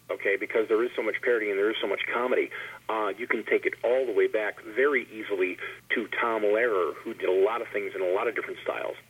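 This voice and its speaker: A man speaking as a panelist in a casual roundtable, telling anecdotes in a rambling way and repeating words as he goes, over a phone line. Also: fairly bright tone. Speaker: panelist, long-time filk musician. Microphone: telephone call. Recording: tinny phone line, husky low fidelity.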